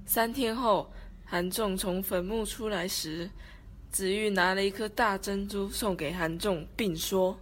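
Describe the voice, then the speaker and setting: A woman's voice: mid-range voice, steady tone, measured pace, slight background noise. A woman with a Taiwanese Mandarin accent reading scripted narration for an amateur radio drama. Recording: background music under the voice.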